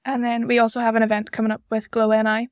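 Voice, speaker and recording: A woman's voice: a little high, monotone, fast talker, clear, resonant tone, no room sound, studio quality. A young woman, chatting casually as an interview guest. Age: young adult. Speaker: podcast guest, student society organiser.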